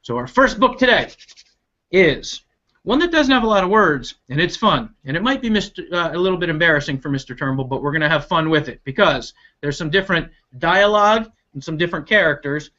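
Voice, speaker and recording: Animated man hosting a read-aloud, muffled sound. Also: on the high side, brisk. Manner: upbeat, addressing viewers directly, explaining as he goes. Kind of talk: expressive storybook read-aloud with chatty asides. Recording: dull lo-fi online video call.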